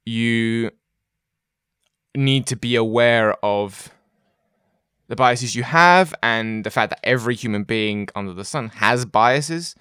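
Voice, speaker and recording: A man's voice: medium pitch, slow, sharp tone, smooth, dry recording, clean studio sound. A man hosting an interview, chatting in a rambling way with many fillers and repeated words. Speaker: host. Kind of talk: casual interview chat.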